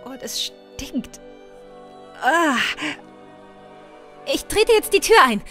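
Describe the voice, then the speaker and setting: A woman playing a determined, brisk young noblewoman in a fantasy audio drama. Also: high-pitched, sing-song, sharp tone, soft background music, heavily acted. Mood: brisk, tense. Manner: bossy, brisk.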